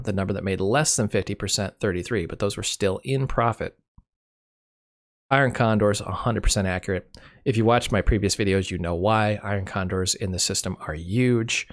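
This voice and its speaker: A man with a cold and a fading voice, casually walking through a screen share off the cuff, correcting himself as he goes. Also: lowish voice. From Michigan. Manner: unscripted, self-correcting, dry humor. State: has a cold, losing his voice. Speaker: channel host.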